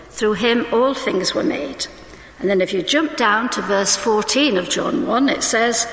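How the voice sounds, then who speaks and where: A woman preaching a sermon in a church, conversational and reading scripture slowly, suffering from hay fever. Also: fairly high pitch, a bit bright. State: hay fever, may cough. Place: church hall. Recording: roomy church with long reverb.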